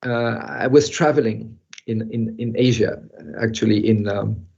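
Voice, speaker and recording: An older man who grew up in Europe, speaking as a guest in an online talk and telling a personal story in a halting, reflective way with soft laughs. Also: lowish voice, fairly even intonation, husky. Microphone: video call. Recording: muffled video call audio.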